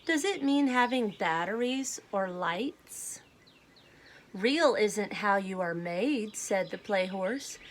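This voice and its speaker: A woman reading a storybook aloud to children, speaking in a deliberate, simple way. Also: mid-range voice, expressive, a little hiss or hum. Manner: deliberate, simple phrasing addressed to young children. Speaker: children's read-aloud host.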